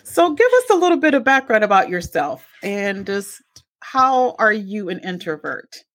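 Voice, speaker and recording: A woman hosting a podcast interview, asking unhurried questions and giving brief encouraging replies. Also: mid-range voice, big pitch swings. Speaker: podcast host. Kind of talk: casual interview.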